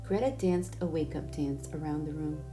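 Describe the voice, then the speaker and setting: A woman's voice: sits low, normal speed, music in the background. A woman narrating a children's storybook aloud. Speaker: storybook reader.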